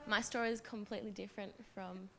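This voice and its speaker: Young woman, mid-pitch, croaky, casual storyteller. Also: fairly even intonation, quick pace. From Australia. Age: young adult. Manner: casual, personal storytelling with many ums. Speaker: panellist, dance school director. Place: conference hall.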